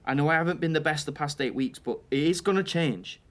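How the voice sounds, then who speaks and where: A man's voice: on the high side, brisk, lightly acted. A man acting in an audio drama as a devoted young boyfriend with a British accent, earnest, hesitant and coaxing. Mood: earnest, reassuring. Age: young adult. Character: defensive but devoted young boyfriend, reassuring.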